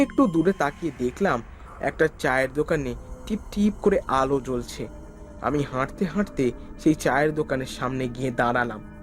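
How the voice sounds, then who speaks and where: A man giving a scripted first-person narration of a horror story. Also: a little high.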